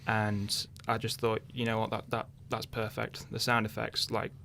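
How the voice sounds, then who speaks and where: A man's voice: on the low side, monotone, moderate pace, creaky, pushed and bright, slight background noise. A young man chatting casually in a radio interview, thinking aloud hesitantly. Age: young adult. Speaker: interviewed radio drama writer.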